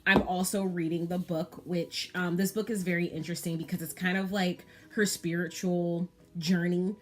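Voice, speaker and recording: Low-pitched thirties woman vlogging, loose chatty style, lots of ums. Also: flat delivery, moderate pace, faint music behind. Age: 30s. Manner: candid, chatty, frequent "um" and "you know". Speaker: planner vlogger. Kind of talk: casual chat to camera.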